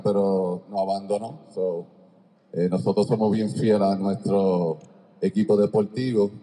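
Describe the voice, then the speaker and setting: A man's voice: lowish voice, warm tone. A man, a basketball coach from Ohio, lecturing at a seminar over a microphone in a large indoor arena. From Cleveland, Ohio, United States. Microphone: handheld microphone through a PA, far camera. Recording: long echo of a large gymnasium.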